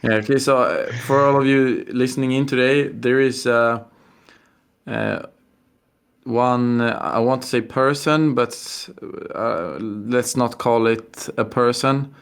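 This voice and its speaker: A young man with a Swedish accent co-hosting a casual podcast, halting and full of fillers. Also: mid-range voice, fairly even intonation, vocal fry, smooth. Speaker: podcast co-host. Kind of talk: casual chat.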